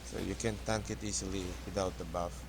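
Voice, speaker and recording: Low-voiced Filipino-accented man, halting second-language English, casual game tutorial host. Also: steady tone, gravelly, noisy background. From Philippines. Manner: hesitant, halting, restarts words often. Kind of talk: casual gameplay commentary.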